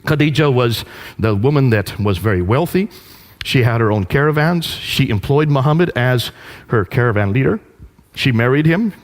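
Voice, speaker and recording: A man giving a lecture to an audience, telling a story with animated delivery and rhetorical questions. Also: mid-range voice, normal speed, soft background music.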